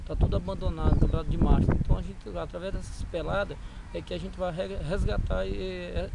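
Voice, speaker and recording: A middle-aged man answers interview questions informally and haltingly, repeating phrases, recorded outdoors on a camera. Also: sits high, steady tone, gravelly, very noisy. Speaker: amateur sports organizer being interviewed. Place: open-air football ground. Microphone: camera at close range.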